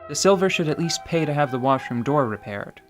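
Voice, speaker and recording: A man narrating a scripted tabletop radio drama in a measured, wry way, over a music bed. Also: a little high, expressive.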